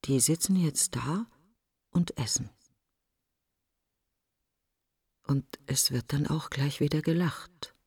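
An actress playing a middle-aged, grieving, sardonic woman, thinking aloud in fragments in a radio-play monologue, often in a breathy near-whisper. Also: low-pitched, measured pace, darkish tone, no room sound, studio quality, heavily acted. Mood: bitter brooding. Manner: fragmented, musing, questioning, sardonic. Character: grieving, bitterly wry successful woman thinking aloud while driving.

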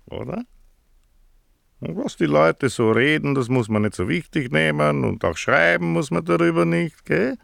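Gravelly, folksy, menacing small-town mayor. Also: male voice, on the high side, moderate pace, fairly bright tone, no room sound, clean studio sound. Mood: veiled warning. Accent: southern German. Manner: folksy, probing, condescending. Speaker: actor. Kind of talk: naturalistic film drama dialogue.

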